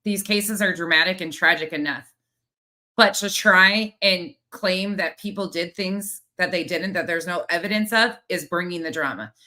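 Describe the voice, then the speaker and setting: A woman's voice: lowish voice, normal speed, pushed and bright. A woman host giving exasperated, critical live commentary, with some swearing. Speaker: host of a true crime commentary show. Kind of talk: live commentary on played clips.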